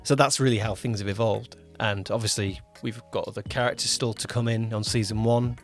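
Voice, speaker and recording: A man's voice: on the low side, fairly even intonation, brisk, faint music behind. A man co-hosting a casual behind-the-scenes podcast as a producer, rambling and often rewording himself mid-sentence. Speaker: podcast co-host, audio drama producer-director.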